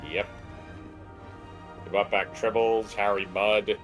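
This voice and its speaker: A man's voice: lowish voice, level tone, slow. A man co-commentating on a game stream in casual chat, argumentative and fond of explaining. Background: game music.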